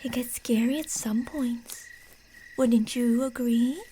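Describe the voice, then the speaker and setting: A woman playing a creepy, delusional stalker posing as a park ranger in an ASMR roleplay, teasing and falsely sweet, slipping between soft speech and whispers. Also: a little high, slow, slight background noise, low-quality recording. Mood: teasing, eerie.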